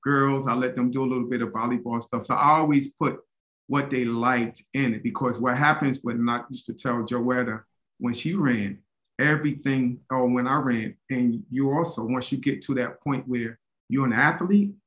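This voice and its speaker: A man co-hosts a casual podcast, talking in a loose, rambling way with easy laughs. His voice sits at an ordinary middle pitch. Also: steady tone, moderate pace, a bit bright, poor audio. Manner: rambling, conversational, laughs easily. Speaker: co-host, former high school track coach.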